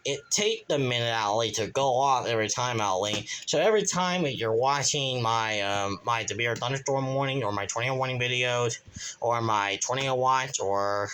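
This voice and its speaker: A man's voice: medium pitch, normal speed, a bit bright, a little hiss or hum. A man from Kansas talking casually in a show-and-tell vlog from his bedroom, rambling with many restarts and a repeated verbal tic. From Kansas, USA. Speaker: channel owner, weather radio hobbyist.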